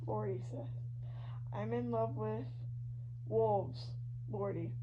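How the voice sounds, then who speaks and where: A woman giving an animated, dramatic, slangy reading of online fan fiction, shifting between characters. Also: medium pitch, takes it slowly. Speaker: podfic reader. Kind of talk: expressive fan fiction reading of social media posts. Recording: dull lo-fi audio with loud hum. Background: loud hum and noise.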